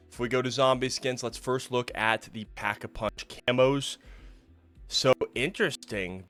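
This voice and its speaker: Guy rambling thru game menus, lowish voice a bit rough from being sick, faint music under it. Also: a bit bright. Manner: chatty, thinking aloud while browsing menus. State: recovering from illness, voice a bit rough. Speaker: gaming YouTuber. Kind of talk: casual gameplay commentary.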